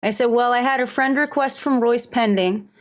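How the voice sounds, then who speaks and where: A woman's voice: a little high, normal speed. A woman guest in a casual podcast interview who gives short, dry answers.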